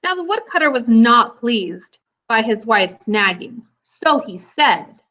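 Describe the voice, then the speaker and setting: A woman's voice: on the high side, sing-song, slow, pushed and bright. A woman teacher hosting and narrating a scripted storybook tale for children. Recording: dull lo-fi recording.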